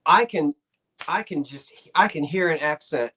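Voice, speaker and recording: A man's voice: fairly high pitch, expressive, unhurried, bright, forceful tone, breathy edge. Chatty South Jersey man hosting a stream. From South Jersey, Delaware Valley. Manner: rambling, restarts sentences often. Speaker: live sale host. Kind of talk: casual live-stream chat.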